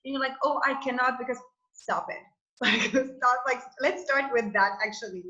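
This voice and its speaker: A woman on a webinar panel giving an informal motivational talk, animated and blunt, peppering her sentences with "like". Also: high-pitched, normal speed. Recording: echoey room, dull lo-fi sound.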